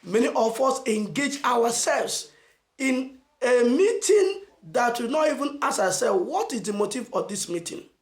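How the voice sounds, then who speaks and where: A Nigerian man giving an emphatic motivational message as a channel host, asking rhetorical questions and stressing phrases slowly. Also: very high-pitched, very animated, echoey room. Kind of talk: motivational preaching to camera.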